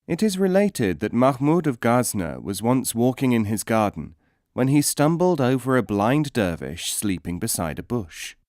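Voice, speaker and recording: A man narrates scripted teaching stories in a measured, formal way. His voice sits at a middling male pitch, and the recording is close and very dry, as in an audiobook studio. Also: big pitch swings, a little mellow, no room sound.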